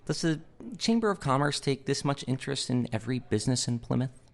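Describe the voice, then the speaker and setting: An actor playing an earnest, witty young theater director in an audio drama. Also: male voice, medium pitch, lively intonation, quick pace, gravelly. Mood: wary, dry. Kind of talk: audio drama acting, dialogue and reflective monologue.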